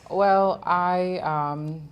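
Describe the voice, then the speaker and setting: A woman's voice: on the low side, fairly even intonation, extremely slow, lo-fi sound, very noisy. A woman from Guyana, a licensed embalmer, introducing herself in a friendly, chatty way with fillers. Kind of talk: guest interview answers. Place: studio.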